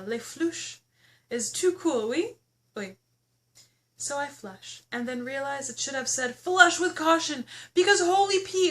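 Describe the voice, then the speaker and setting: A woman reading her own memoir aloud as its author, in expressive first-person narration with a playful, theatrical, exclamatory storytelling manner. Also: high voice.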